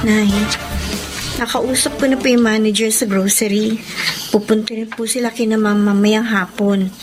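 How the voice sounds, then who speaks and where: A woman playing a polite, soft-hearted young working daughter in a Filipino drama, respectful and earnest in manner. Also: mid-range voice, steady tone, moderate pace, music in the background, natural voice. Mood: gentle, sorrowful. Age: young adult. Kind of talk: Filipino radio drama acting.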